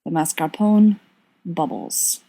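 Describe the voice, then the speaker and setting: A woman's voice: lowish voice, takes it slowly, creaky, dark tone. A woman narrating fiction expressively, as a volunteer audiobook reader.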